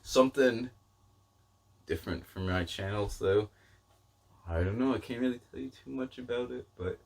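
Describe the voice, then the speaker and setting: A man's voice: medium pitch, moderate pace, creaky. A man talking casually to camera as a vlogger, in a rambling way that often doubles back mid-thought. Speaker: book vlogger and channel host.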